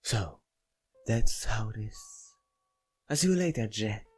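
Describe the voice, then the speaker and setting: Obsessive young woman for a visual novel, played by a man, raised pitch, slightly husky, needling delivery. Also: expressive, slow pace, a little mellow, faint music behind, exaggerated voice. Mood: menacing, teasing. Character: creepy, intense young woman fixated on a man.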